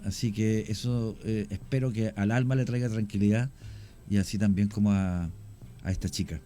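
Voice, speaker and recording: Chilean man, radio chat, mellow voice. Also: on the low side, normal speed. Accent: Chilean Spanish. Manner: storytelling, animated with hesitations. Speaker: guest, cemetery tour guide and actor. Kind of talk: casual radio conversation. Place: radio studio.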